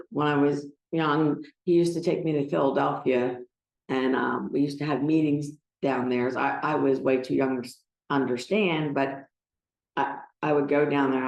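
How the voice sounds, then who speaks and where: An older American woman giving a personal talk to a gathering, speaking in a halting, emotional way. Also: deep voice, gravelly, darkish tone. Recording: roomy, echoing sound.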